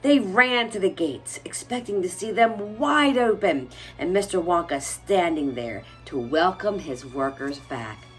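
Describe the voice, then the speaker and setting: A woman reading a children's storybook aloud expressively, like a teacher, with soft music behind her. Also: lowish voice, animated, measured pace, few pauses, poor audio.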